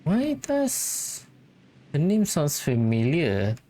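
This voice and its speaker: A video game host giving casual commentary and thinking out loud while playing. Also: mid-range voice, huge pitch swings, extremely slow.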